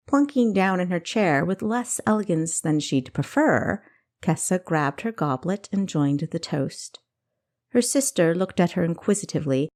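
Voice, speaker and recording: A woman reading scripted fantasy fiction as a storyteller, with expressive, dramatic phrasing. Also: lowish voice. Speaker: storyteller, audiobook narrator.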